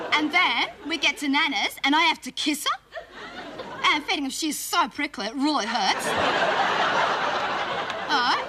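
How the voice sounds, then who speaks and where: A bratty, indignant Australian schoolgirl in a comedy monologue. Her voice is high, and she rambles on in gossipy slang, mimicking others as she goes. Loud background noise runs under it. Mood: disgusted, cheeky. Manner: breathless run-on storytelling, mimicking her family.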